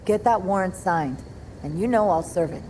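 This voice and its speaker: A woman's voice: on the low side, lively intonation, normal speed, warm tone, slight background noise, close to an everyday voice, poor audio. A woman playing a sardonic, world-weary police detective in a video game cutscene, with dry, sarcastic delivery. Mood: frank, weary.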